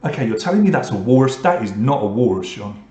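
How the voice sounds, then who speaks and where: A man from England hosts an online pub quiz, reading out questions and running scores. He breaks off often to tease the players with dry jokes. His voice sits at a middle pitch and echoes around the room. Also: lively intonation, fast talker, darkish tone. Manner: reads questions and scores, joking asides to players. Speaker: quiz host.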